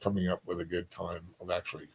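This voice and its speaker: Halting, casual male livestream co-host. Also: sits low, little pitch movement, breathy edge. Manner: halting, repetitive, trailing sentences. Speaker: co-host of a book discussion stream.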